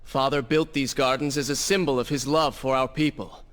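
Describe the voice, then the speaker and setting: An actor playing a proud, earnest young warrior prince with a dry wit in a dramatic video game. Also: male voice, mid-range voice, steady tone, moderate pace, fairly bright tone, close to an everyday voice. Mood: solemn, resolute.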